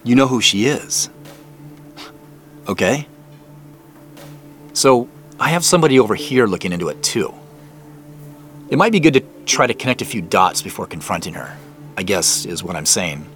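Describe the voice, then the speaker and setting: An awkward, apologetic man in a naturalistic audio drama. His voice is low, with lively swings in intonation, and he speaks haltingly, as if unsure of his words. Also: faint music behind. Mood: hesitant, concerned. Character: awkward, apologetic man investigating a family mystery.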